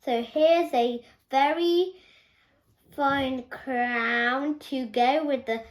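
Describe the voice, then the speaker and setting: A young girl with a high voice reads a picture book aloud. Her pace is extremely slow and careful, and she halts over words, repeats them and corrects herself as she goes. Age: child. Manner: halting, careful reading with stumbles and self-corrections. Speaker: young reader.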